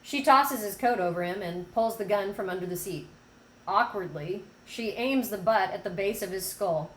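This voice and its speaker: A woman's voice: medium pitch, normal speed. A woman reading stage directions as the narrator of a screenplay table read. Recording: echoey room, background noise.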